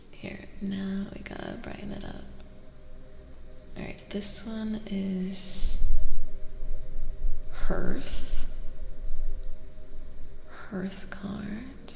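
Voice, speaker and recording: A woman streaming a soft-spoken ASMR card reading, chatting casually and gently in a breathy voice that often drops to a whisper, repeating words soothingly. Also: medium pitch, echoey room, long reverb tail. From Eastern Europe. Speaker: streamer host giving tarot readings.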